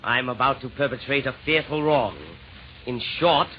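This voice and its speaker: An actor playing a villainous, pompous Roman emperor, declaiming rhymed verse in old-time radio comedy style. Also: male voice, medium pitch, moderate pace, natural voice. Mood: menacing, boastful. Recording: dull, noisy vintage radio recording.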